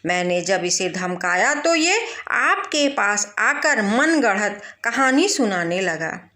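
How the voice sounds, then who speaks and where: A woman's voice: medium pitch, very animated, slow, sharp tone, full character voice. A woman voicing a scheming wealthy master in a storybook tale, deferential and self-justifying. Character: scheming wealthy master, male, defending himself. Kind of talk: storybook character voice.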